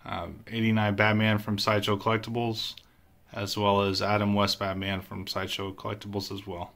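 A man's voice: lowish voice, monotone, brisk, fairly bright tone. A man giving a casual voice-over tour of his statue collection room, plain and matter-of-fact with frequent filler words.

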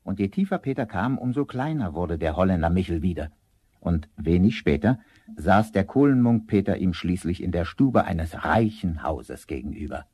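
A man's voice: low voice, animated, moderate pace, dark tone, breathy edge, no echo. A man narrating a fairy tale as a scripted storyteller for a radio play.

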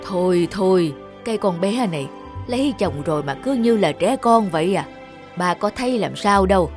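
An actor playing an aging, lonely, selfless father in a cartoon, slow and soothing. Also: sits high, lively intonation. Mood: tender reassurance. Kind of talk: cartoon dub acting. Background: music.

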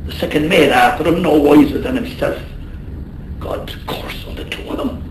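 An actor playing a loyal, warm-hearted Irish seaman in a radio drama, with colourful turns of phrase. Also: on the high side, normal speed, lightly acted. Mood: indignant. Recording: roomy, faintly noisy radio drama.

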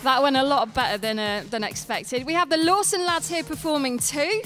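A woman in her thirties reads radio news in a high-pitched voice. She moves between scripted bulletin delivery and casual speech, over a clear music bed. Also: moderate pace. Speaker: radio newsreader. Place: on stage in a hall before a live audience. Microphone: close broadcast microphone with headphones.

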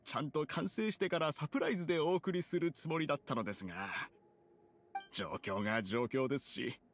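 A man's voice: fairly high pitch, slight background noise, lightly acted. A man voicing a devoted, courteous retainer in a Japanese anime game, speaking politely and formally, with music behind him. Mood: reassuring. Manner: polite, formal, measured phrasing. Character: courteous, devoted gentleman retainer to his boss.

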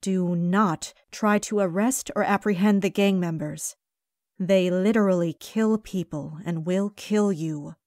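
A woman playing a stern, no-nonsense spy agency boss in an animated web series, firm and exasperated. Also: fairly low pitch, unhurried, no room sound, clean studio sound. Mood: businesslike. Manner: firm, exasperated, clipped orders.

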